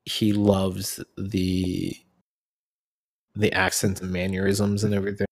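A man chatting casually, with a halting, informal delivery. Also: low-pitched, completely flat delivery. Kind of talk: casual chat.

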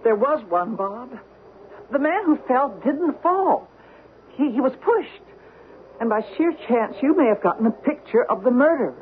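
An actress playing a curious, affectionate wife on vacation in a radio drama, speaking expressively and inquisitively. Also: female voice, high-pitched, very animated, moderate pace. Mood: urgent, insistent. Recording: tinny, noisy old radio recording.

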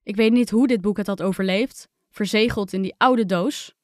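A woman reading a scripted first-person children's story with expressive, dramatic delivery. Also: mid-range voice, moderate pace, dry recording, clean studio sound. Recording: music under the voice.

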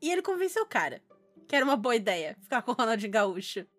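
Female podcast co-host, southern Brazilian accent. High pitch, casual animated storyteller, slangy, music bed. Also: fast. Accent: southern Brazilian Portuguese from Rio Grande do Sul. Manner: animated anecdote telling with slangy speech.

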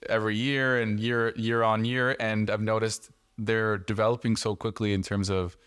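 A man's voice: fairly low pitch, little pitch movement, moderate pace, a bit bright, smooth. A man from Canada hosting a casual interview, telling stories with frequent hesitations. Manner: hesitant, many fillers and restarts while storytelling. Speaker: podcast host.

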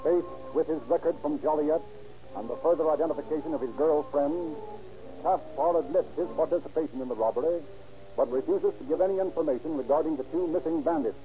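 A man's voice: a little high, a little fast. A man giving formal, matter-of-fact scripted narration in an old radio crime drama. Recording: dull old radio recording with music.

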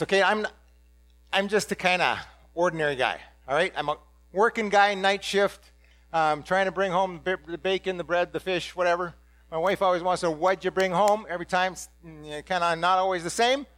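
A blunt, ordinary middle-aged fisherman apostle, played by a man speaks in a rather high voice to a congregation. He rambles and stumbles over restarts in a casual, excitable way. The sound is dry and close. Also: no echo. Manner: excitable, blurting. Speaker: dramatic first-person preacher. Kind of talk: first-person biblical monologue to a congregation.

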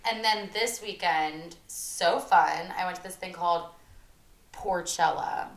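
A woman's voice: lowish voice, slow. A young woman, co-hosting a podcast in casual chat and saying "like" often. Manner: chatty, uses "like" often. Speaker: podcast co-host. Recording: echoey room.

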